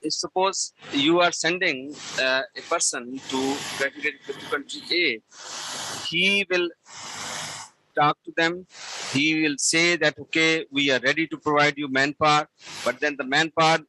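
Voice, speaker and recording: A man responding on a panel, hesitant and halting, full of "uh" fillers. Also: a little high, fairly even intonation, pushed and bright, slight background noise, low-quality recording.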